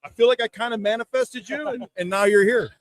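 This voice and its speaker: A man in his thirties telling a casual story as a podcast panelist, halting and rambling. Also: very high voice. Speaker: sports writer and podcast panelist.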